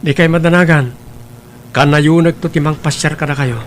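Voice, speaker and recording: A man's voice: on the high side, steady tone, moderate pace, lightly acted. A young man voicing a dutiful, polite nephew in a family drama, with faint hum behind. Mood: earnest. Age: young adult. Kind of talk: Ilocano radio-style family drama.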